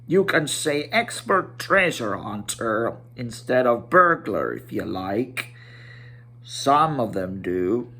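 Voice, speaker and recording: A man's voice: mid-range voice, big pitch swings, takes it slowly, creaky, sharp tone, pitched below the performer's own voice, exaggerated voice. A man voicing a blunt, businesslike male dwarf from a fantasy storybook, brusque and matter-of-fact.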